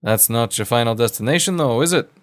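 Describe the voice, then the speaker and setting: A man voicing a kindly, cryptic old man who gives folksy, unhurried advice in an audio drama. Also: medium pitch, expressive, lightly acted. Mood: genial, curious. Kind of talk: audio fiction character voice.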